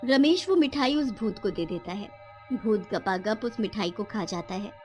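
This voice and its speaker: A woman narrator reading a scripted animated moral tale for listeners. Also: medium pitch, unhurried, soft background music.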